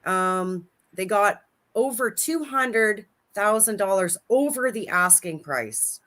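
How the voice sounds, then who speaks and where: A woman's voice: medium pitch, animated, slow, fairly bright tone. A woman in her forties, a guest on a livestream, chatting casually and laughing as she tells stories about friends. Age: 40s. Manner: chatty, laughs often, storytelling.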